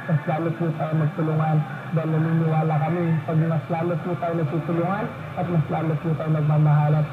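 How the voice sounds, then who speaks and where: A man with a Filipino accent giving a polite, repetitive formal greeting as a local official. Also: fairly high pitch. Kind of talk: formal New Year greeting speech. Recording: tinny, echoey, noisy radio message.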